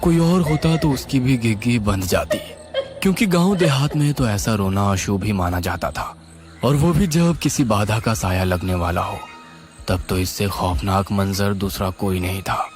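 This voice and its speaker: A man tells a scripted horror story with suspense and drama. His voice is on the low side and swings expressively in pitch. Music plays clearly behind him. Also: lively intonation, measured pace. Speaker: storyteller, narrator.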